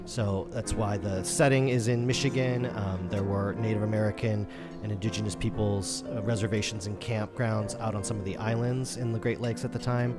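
A man's voice: lowish voice, steady tone, moderate pace, loud music behind the voice. A man hosting a podcast, talking conversationally about literature. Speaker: podcast host.

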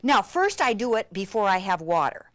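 A woman giving an enthusiastic hands-on craft demonstration, gushing and breathlessly excitable, with hushed asides. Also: medium pitch, normal speed, no echo. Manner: gushing, breathlessly excited, with frequent gasps and exclamations.

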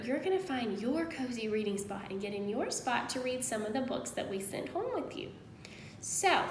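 A woman in her thirties, a kindergarten teacher giving an encouraging read-aloud lesson to young children, pausing after questions. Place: indoors at home, small room. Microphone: phone at arm's length. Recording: roomy echo, faint noise and rumble.

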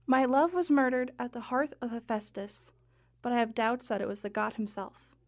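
Creaky, earnest young heroine, acted by a woman. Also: a little high, normal speed, darkish tone, close to an everyday voice. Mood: grieving, guarded. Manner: earnest, hesitant. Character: grieving, guarded woman hero of ancient Greece on a quest. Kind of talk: audio drama acting.

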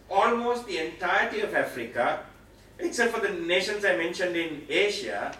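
A man's voice: on the high side, fairly bright tone. A middle-aged man from Sri Lanka with a Sri Lankan accent, giving an explanatory Bible teaching lecture in an emphatic way, repeating key phrases. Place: meeting room with seated audience, indoors. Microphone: far camera, speaker at a mic stand. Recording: very echoey room, distant pickup, noisy.

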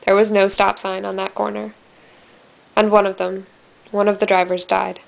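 A woman's voice: medium pitch, level tone, creaky, echoey room. A teenage girl acting as a bitter, sardonic dead girl narrating confession tapes in a student film. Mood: somber. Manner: deliberate, sardonic, dramatic delivery. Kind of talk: scripted dramatic monologue for amateur student film. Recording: hissy, distant amateur room recording.

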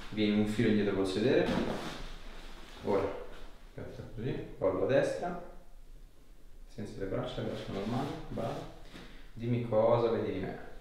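A man in his thirties with a low voice, recorded in a very echoey small room with faint noise. He gives short, informal instructions and reassurance as a chiropractor at work. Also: expressive, normal speed, long pauses, mellow. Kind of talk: instructing a patient during a hands-on session. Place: small treatment room indoors.

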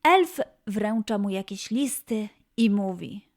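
A woman's voice: medium pitch, animated, takes it slowly, a little mellow. A woman storyteller giving a scripted narration of a tale for children.

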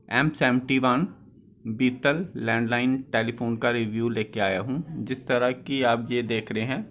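Male product reviewer, average pitch, explains and rephrases, dull phone-mic audio. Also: fairly bright tone, a little hiss or hum. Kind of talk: informal product review narration.

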